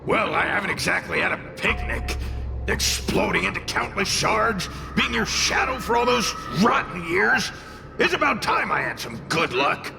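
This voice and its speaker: A man's voice: high voice, big pitch swings, moderate pace, creaky, exaggerated voice. An actor playing a brash, mischievous male fox-tail spirit in a video game, boastful and protective, with a theatrical, sardonic, mocking manner. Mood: sardonic, proud. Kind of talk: animated video game voice acting. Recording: voice over loud game music. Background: game music.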